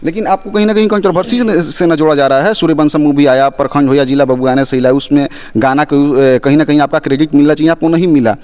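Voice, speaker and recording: A man's voice: a little high, fairly even intonation, fast talker, few pauses, darkish tone. A man working as an interviewer, putting persistent, pointed questions in a probing style.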